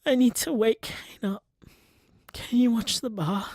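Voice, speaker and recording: Man acting as a slow, halting, wounded man. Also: very high-pitched, darkish tone, no echo, clean studio sound, exaggerated voice. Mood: hurt, breaking down. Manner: halting, repeating himself, emotional. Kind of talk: audio drama voicemail monologue.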